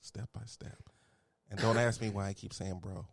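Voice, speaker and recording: A man from Houston hosts a loose, casual podcast, laid-back and quick to laugh at his own jokes. His voice sits on the low side. It is recorded close and dry, with no room sound. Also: steady tone, no room sound. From Houston, Texas. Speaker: podcast host. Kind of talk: casual chat.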